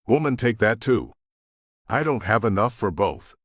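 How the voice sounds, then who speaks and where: Male romance narrator, low register, highly varied intonation, dry recording. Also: sing-song, normal speed, no room sound. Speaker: audiobook narrator. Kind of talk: scripted romance narration with acted dialogue.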